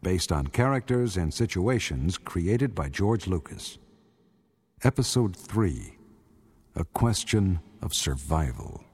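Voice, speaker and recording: A man's voice: sits low, very animated, slow pace, darkish tone, husky, heavily acted. A man narrating a space saga radio drama in a solemn, dramatic storyteller's manner. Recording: music under the voice.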